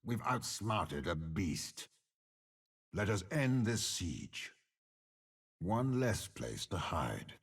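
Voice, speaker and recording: An actor playing a commanding, cunning older general in a video game, with a raspy voice and a measured, imperious delivery. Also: male voice, heavily acted. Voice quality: deep gravelly rasp. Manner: slow, grandiose, measured, imperious. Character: commanding older male warlord general, cold, cunning, menacing. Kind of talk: video game character voice lines.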